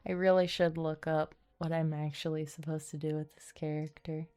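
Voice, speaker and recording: A woman's voice: deep voice, little pitch movement, normal speed, dark tone, clear, resonant tone, faint music behind. A woman livestreaming a game, thinking aloud in a casual, playful way. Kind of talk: casual gameplay commentary.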